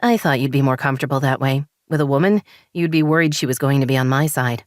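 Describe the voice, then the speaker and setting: A woman's voice: extremely low pitch, fast, no room sound, studio quality. A woman voicing a hurt, frustrated married woman who presses her points insistently. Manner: heated, insistent.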